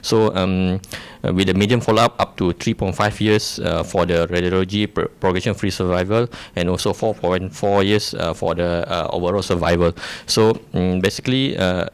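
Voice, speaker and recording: A man's voice: low voice, fairly even intonation, moderate pace, few pauses, clear, resonant tone. A young man from Malaysia, a resident giving a debate opening statement, hesitant with many fillers and restarts.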